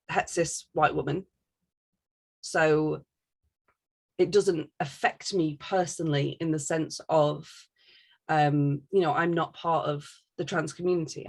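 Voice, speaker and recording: Low-pitched woman with a creaky edge. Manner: emphatic, candid, swears casually. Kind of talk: casual opinionated discussion.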